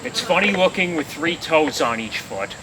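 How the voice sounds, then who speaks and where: A man's voice: a little high, animated, normal speed, natural voice. An actor playing an indignant, literal-minded policeman as plodding comic relief in an old-time radio drama. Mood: affronted. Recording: very noisy, distant old radio recording.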